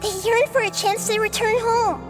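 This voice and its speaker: Very high, gentle child goddess. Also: female voice, fairly even intonation, music in the background. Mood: firm, pleading. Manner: earnest, measured, formal. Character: small, childlike, wise and gentle nature goddess. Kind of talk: video game voice acting.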